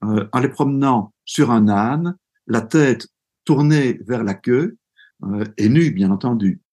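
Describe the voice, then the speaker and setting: Husky-voiced male interview guest. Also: mid-range voice, measured pace, dark tone. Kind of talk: spontaneous explanatory interview answers.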